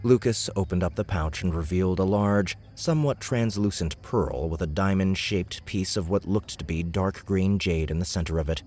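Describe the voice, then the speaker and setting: A man's voice: low-pitched, moderate pace, few pauses, darkish tone. A man reading scripted fantasy fiction as an audiobook narrator.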